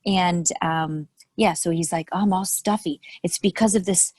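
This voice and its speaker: A woman's voice: on the low side, fairly even intonation, moderate pace, a little mellow, dry recording, studio quality. A woman in a casual chat telling a story, hesitant with many ums.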